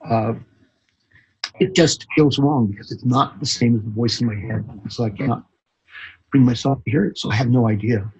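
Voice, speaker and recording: A man with a husky voice being interviewed as an author, speaking haltingly and often repeating words as he thinks. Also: mid-range voice, a little mellow, dull audio. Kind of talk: podcast interview conversation.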